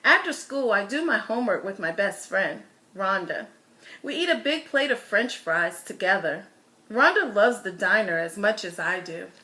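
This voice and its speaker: A woman reading a children's picture book aloud as a storyteller, with expressive, deliberate phrasing and stressed words. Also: medium pitch, a little hiss or hum, rough recording.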